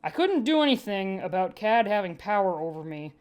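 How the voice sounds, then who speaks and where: A woman's voice: medium pitch, big pitch swings, fairly quick, a little mellow, clear, resonant tone. A woman narrating a first-person story as a podfic reader, with a dry, wry delivery.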